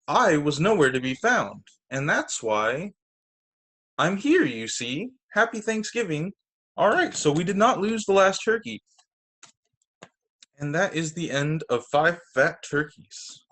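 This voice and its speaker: A man teaching young children through a read-aloud storytime on a video call, with expressive, deliberate phrasing. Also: fairly high pitch. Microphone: video call microphone.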